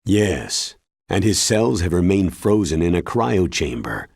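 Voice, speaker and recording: Solemn military commander, low-pitched, raspy, measured, authoritative, video game briefing. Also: male voice, sing-song, a little mellow, dry recording, clean studio sound, exaggerated voice. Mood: solemn, explanatory.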